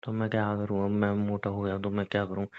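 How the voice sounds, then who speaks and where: A man's voice: fairly low pitch, monotone, fast, clear, resonant tone, close to an everyday voice. A young man playing a lazy, evasive boyfriend in an acted phone-call drama, making casual, whiny, defensive excuses. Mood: whiny, resigned. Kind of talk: acted phone-call conversation between a couple.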